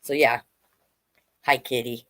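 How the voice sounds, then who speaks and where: A woman's voice: very deep voice, flat delivery, slow pace, fairly bright tone. A woman hosting a storytime, reading aloud to children and chatting to listeners with questions and asides. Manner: conversational, addresses listeners with questions.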